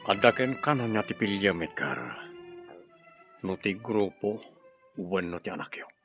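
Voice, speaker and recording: A man's voice: lowish voice, expressive, a little slow. A man acting a grave, demanding rebel leader in a radio drama, with an Ilocano accent. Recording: dry, dull lo-fi audio with music.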